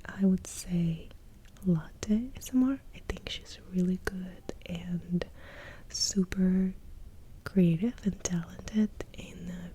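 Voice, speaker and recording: A young woman from Russia murmurs in a hushed, breathy half-whisper, right up against a sensitive microphone. Her voice is very dark and mellow, and she talks slowly and gently, like soft-spoken ASMR. A faint hiss sits under the voice. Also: on the low side. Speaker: ASMR creator. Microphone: close sensitive ASMR mic.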